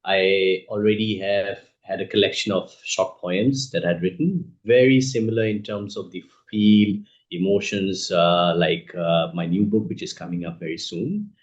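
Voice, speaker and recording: A man from northeast India with an Indian accent, giving reflective, thoughtful interview answers as an author, measured and pausing mid-sentence. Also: sits low. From Assam, northeast India. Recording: echoey room, dull muffled sound.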